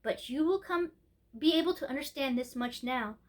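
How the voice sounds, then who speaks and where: Lady doing a really old grandma queen from a storybook, high voice, gentle n patient, kinda mysterious. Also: animated, normal speed. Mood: patient, kindly.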